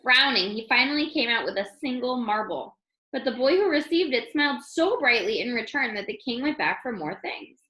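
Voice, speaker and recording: A woman reading a children's story aloud as an expressive narrator. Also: a little high, normal speed. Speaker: storybook reader. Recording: roomy, echoing room sound.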